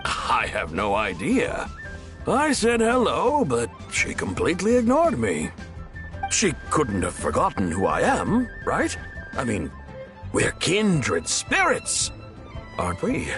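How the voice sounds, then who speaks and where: A man's voice: mid-range voice, huge pitch swings, takes it slowly, over music. A man voicing a chatty, theatrical older townsman in a video game, gossipy and self-satisfied. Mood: wounded, puzzled. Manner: dramatic, swooping, gossipy. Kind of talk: video game character voice acting.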